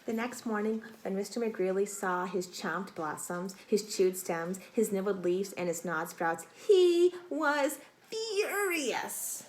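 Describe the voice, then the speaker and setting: A woman's voice: mid-range voice, lively intonation. Woman reading aloud to children, roomy echo. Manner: deliberate, dramatic emphasis, addressing young listeners directly. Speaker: teacher reading a picture book aloud to children. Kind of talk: expressive children's storybook read-aloud. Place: small indoor room. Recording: roomy echo, faint noise.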